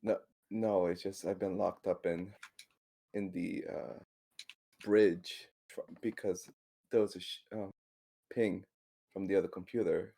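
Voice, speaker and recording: Casting: male game player, deep mellow voice with fry, hesitant casual delivery. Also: fairly even intonation. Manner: hesitant, halting. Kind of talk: casual roleplaying game chat.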